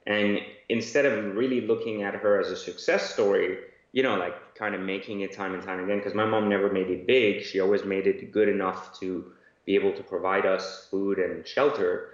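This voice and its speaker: A man's voice: low voice, fairly even intonation, normal speed. Man with an echoey, dull line. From Iran. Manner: rambling, many fillers and restarts. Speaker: guest entrepreneur. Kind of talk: conversational interview answers. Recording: echoey, dull remote audio.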